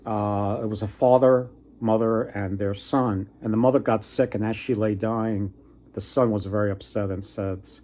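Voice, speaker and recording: Man casually retelling folktales, halting and rambling. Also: lowish voice, steady tone, dark tone. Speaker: book vlogger and storyteller.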